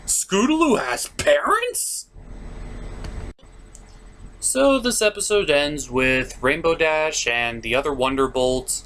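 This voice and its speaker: Male comedy reviewer-host: lively, swooping intonation, sarcastic and mock-dramatic scripted narration, slight noise behind. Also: fairly high pitch. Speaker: cartoon reviewer, show host.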